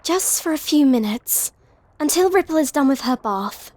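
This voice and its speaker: A woman plays a timid young sea pony mare in a storybook drama. The voice is high, soft-spoken and hesitant, with some ambience behind it. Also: animated, moderate pace, a little hiss or hum.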